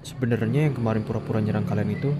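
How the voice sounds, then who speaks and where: A man's voice: fairly low pitch, racing, natural voice. A man playing an earnest, conciliatory dragon keeper in a Minecraft roleplay, explaining hesitantly. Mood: hesitant, confessing. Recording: music behind.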